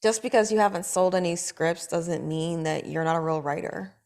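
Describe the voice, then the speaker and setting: A woman reading her lines at a script table read, dry and blunt, with quick comebacks. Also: lowish voice, a little mellow.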